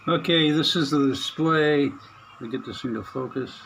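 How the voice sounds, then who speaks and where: Relaxed man narrating a radio repair. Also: on the high side, gravelly, slight background noise. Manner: halting, many fillers, chuckles to himself. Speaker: radio hobbyist vlogger. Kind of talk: casual hands-on narration. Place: small spare room at home.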